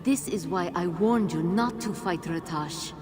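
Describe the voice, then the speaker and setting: An actress playing a mysterious, wise female guide in a video game cutscene, speaking in a grave, measured, authoritative way. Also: fairly low pitch, natural voice. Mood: grave, insistent.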